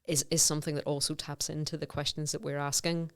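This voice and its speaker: A woman academic speaks in a notably low register, her voice smooth and resonant. She is middle-aged and explains her ideas conversationally, circling back with fillers and self-corrections as she thinks aloud. The whole thing sounds like an informal podcast interview. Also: flat delivery, fast talker. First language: English. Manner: thoughtful, many fillers and self-restarts. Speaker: voice researcher, podcast guest.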